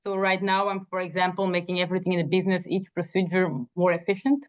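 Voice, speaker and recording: A woman's voice: on the low side, flat delivery, quick pace. A young woman from Belgium, a native Dutch speaker, earnestly introducing herself in a job interview with many fillers and restarts. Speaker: job candidate. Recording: dull lo-fi sound.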